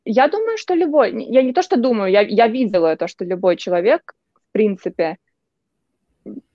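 A woman's voice: medium pitch, expressive, moderate pace, no room sound. A young woman from Russia, a journalist guest, telling casual stories in a chatty way and often restarting her sentences. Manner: chatty, anecdotal, frequent restarts. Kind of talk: casual interview chat. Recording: dull lo-fi dry sound.

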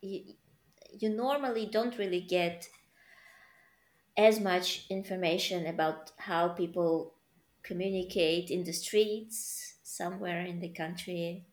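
Woman, slow and thoughtful, kinda low voice, echoey room. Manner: slow, reflective, searching for words. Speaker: conversation participant. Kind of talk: casual chat.

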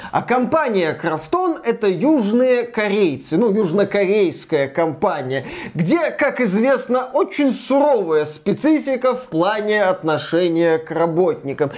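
A man giving opinionated, conversational commentary as a podcast co-host, speaking colloquially in a deliberate, emphatic way. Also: high-pitched, big pitch swings, a little mellow. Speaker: co-host of a video game news podcast.

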